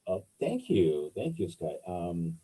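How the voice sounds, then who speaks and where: A middle-aged man from the Republic, answering interview questions as a candidate, halting with many fillers and restarts. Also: low-pitched, big pitch swings, mellow, slightly rough. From Dominican Republic. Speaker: political candidate.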